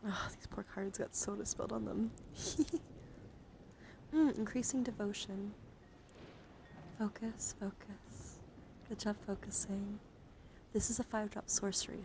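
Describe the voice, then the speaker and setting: A woman with a medium-pitched, noticeably creaky voice hosts a casual ASMR stream. She is playful and easily sidetracked, moving between soft talk and hushed whispering close to the microphone. Clear noise sits behind her voice. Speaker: live streamer hosting an ASMR card stream. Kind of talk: ASMR and casual chat to viewers. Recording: clearly audible background noise.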